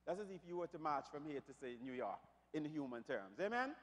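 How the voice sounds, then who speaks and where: A pastor preaching to his congregation with a slightly raspy, somewhat high voice. He swings between hushed prayer and fervent, shouted declarations. Long reverb from the church hall trails each phrase. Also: sing-song, a little fast. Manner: builds from quiet to loud, preaching fervour. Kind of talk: sermon.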